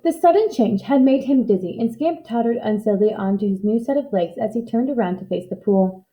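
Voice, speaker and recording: A woman reading a children's story aloud in an expressive narrating style. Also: a little high, a little fast, rough recording. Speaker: storybook reader.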